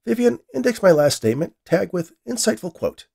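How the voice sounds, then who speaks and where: A man voicing an ambitious, excitable male scientist with an eager, grandiose manner in a science fiction story. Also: high-pitched, a little fast, gravelly, slightly rough, pitched above the performer's own voice, full character voice.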